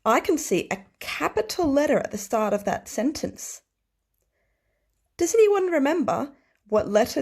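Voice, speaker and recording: Woman teacher with slow, lively, high-pitched delivery. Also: gravelly. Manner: simple, deliberate explaining with questions to children. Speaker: early primary school teacher. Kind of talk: read-aloud lesson for young children.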